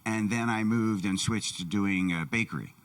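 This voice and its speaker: Guy interpreting for a deaf speaker, really deep voice, short plain answers, mic far off. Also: normal speed, a bit bright, faint music behind. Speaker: interpreter voicing a deaf guest's signing. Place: church sanctuary.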